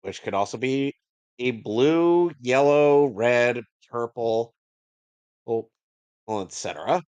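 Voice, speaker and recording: A man's voice: medium pitch, expressive, takes it slowly, long pauses, darkish tone, clean tone, no echo. Man commenting casually, close dry sound. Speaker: co-host of a commentary show. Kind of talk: casual joking commentary on a cartoon.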